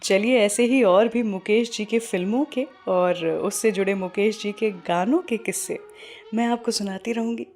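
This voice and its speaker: A woman's voice: mid-range voice, normal speed, a little mellow, faint music behind. A woman with an Indian accent hosting a radio show, telling stories in a scripted style and slipping English phrases into her talk. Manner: Hindi mixed with frequent English phrases. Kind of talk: scripted radio storytelling and song introductions.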